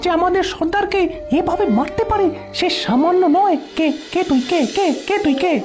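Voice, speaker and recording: Cartoon henchman: a woman playing a crude male bandit, very high voice, stammering. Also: animated, moderate pace, over music.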